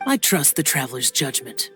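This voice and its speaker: A woman's voice: extremely low pitch, very animated, normal speed, bright, forceful tone, faint music behind, exaggerated voice. A woman playing a stern, aloof immortal young male guardian, terse and solemn, in a video game cutscene.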